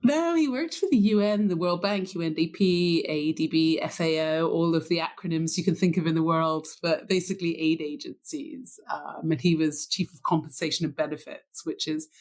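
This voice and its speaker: A woman's voice: deep voice, normal speed, few pauses, a little mellow. A woman in her forties with a mixed British and American accent, chatting as an interview guest, bubbly and laughing often, with lots of fillers. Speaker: guest, wine buyer. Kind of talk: casual interview chat.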